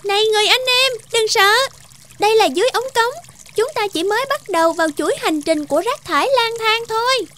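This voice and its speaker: A woman's voice: extremely high pitch, lively intonation, a little fast, fairly bright tone. A woman voicing a blunt, world-weary discarded juice bottle in a children's cartoon, scolding and matter-of-fact. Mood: resigned. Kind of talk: animated children's cartoon acting.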